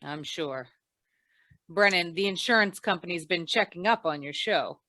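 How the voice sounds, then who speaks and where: Wry female private investigator played by an actress, radio noir, deadpan delivery, on the low side, very little room. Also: moderate pace, dry recording. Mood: dry, probing. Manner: deadpan, wisecracking. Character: wry hard-boiled female insurance investigator. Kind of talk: old-time radio noir detective acting.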